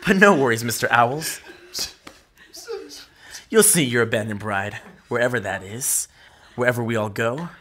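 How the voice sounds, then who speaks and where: A man's voice: medium pitch, moderate pace, long pauses, sharp tone. An actor playing a cruel, cynical male captor in an audio drama, preaching at and mocking his victims with menace. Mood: contemptuous, ranting.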